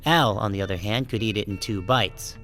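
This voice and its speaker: A man's voice: lowish voice, brisk, fairly bright tone, faint music behind. A man playing a wary, resentful sheep who narrates his own story in a wry, guarded audiobook style. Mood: wry, uneasy. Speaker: first-person narrator and protagonist. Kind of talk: scripted first-person audiobook narration.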